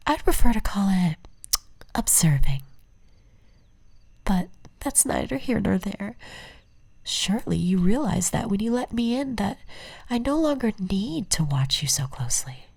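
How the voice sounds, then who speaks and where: A woman playing an obsessive, possessive stalker in an ASMR roleplay, whispering softly and breathily with tender menace and ornate, deliberate endearments. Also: medium pitch, very animated, creaky, slightly rough, heavily acted. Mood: possessive, wistful.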